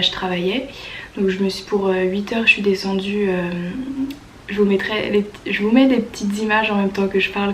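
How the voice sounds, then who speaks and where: Casting: French woman, early 20s, chatty informal vlogger, mid-pitched, congested with a cold, noisy ambient recording. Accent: French from France. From France. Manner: informal, youthful slang, enthusiastic. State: stuffy voice from a cold. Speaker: au pair vlogger. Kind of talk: casual chatty vlog storytelling.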